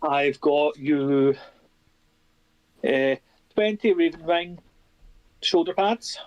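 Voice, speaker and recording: A man with a Scottish accent chatting easily as a guest on a stream, reading out a list with pauses. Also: on the high side, muffled recording. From Scotland. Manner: easygoing, reads out a list with pauses. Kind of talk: casual chat.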